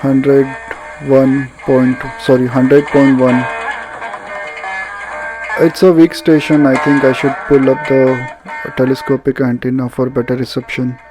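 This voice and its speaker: A man with an Indian accent giving a casual, halting product demonstration as a radio reviewer. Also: medium pitch, flat delivery, rough recording. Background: radio music playing.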